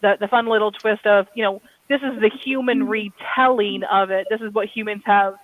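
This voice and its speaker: An American woman talks casually as a guest author on a panel, explaining in a chatty way. Her pitch is average. The sound is thin and band-limited, like a call, and very dry. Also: steady tone, moderate pace, no room sound, narrow, tinny audio. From Kentucky, USA.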